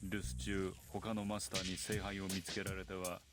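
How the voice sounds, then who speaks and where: An actor playing a stoic, quietly intimidating master in a Japanese visual novel, with a flat, deadpan, terse delivery. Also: male voice, low-pitched, fast talker, natural voice. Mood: calm, impassive. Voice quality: flat, unchanging tone. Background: loud music with drums.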